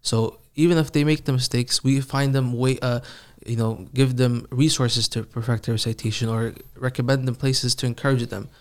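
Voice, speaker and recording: Man, mid pitch, flat delivery, calm reflective guest, interview. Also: moderate pace, hardly any pauses. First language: not Arabic. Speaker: Quran reciter, guest. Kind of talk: casual interview.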